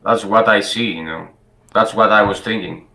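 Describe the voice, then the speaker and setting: A man's voice: on the low side, normal speed, vocal fry, sharp tone, echoey room. A man from Greece, talking to a stranger in a casual video chat, hesitant and full of fillers.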